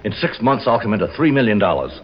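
Defiant cornered murderer, husky, greedy schemer, 1940s radio acting, muffled old recording. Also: male voice, on the low side, sing-song, fast. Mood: scheming.

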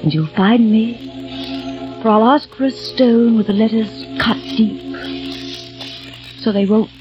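A woman's voice: fairly high pitch, unhurried, lightly acted. An actress playing a young woman from the eighteenth century, who speaks tenderly and earnestly in a 1940s radio drama. She bids her lover a poetic farewell. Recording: dull lo-fi old radio recording with music.

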